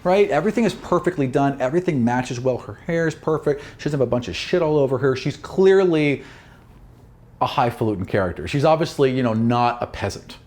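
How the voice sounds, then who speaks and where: A man's voice: medium pitch, lively intonation, fairly quick, darkish tone. A man working as a game master, narrating a tabletop roleplaying game in a descriptive storytelling way. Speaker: game master and podcast host.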